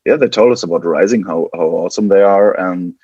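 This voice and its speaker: A man's voice: low-pitched, fast talker, a little mellow, husky, no room sound, lo-fi sound. A man from West Germany with a German accent, chatting casually as an interview guest and often repeating and restarting his words. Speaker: podcast guest, band guitarist.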